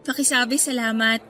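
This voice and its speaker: A woman's voice: fairly high pitch, bright, forceful tone. A woman playing a self-doubting, burdened young woman in a Filipino radio drama, speaking with a Filipino accent in a reluctant, confiding manner. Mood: shy, evasive.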